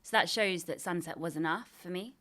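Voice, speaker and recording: Woman with a lowish voice, blunt casual podcast co-host who swears and stops and restarts mid-story. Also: a bit bright. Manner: blunt and swearing, halting when telling a story. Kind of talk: casual chat.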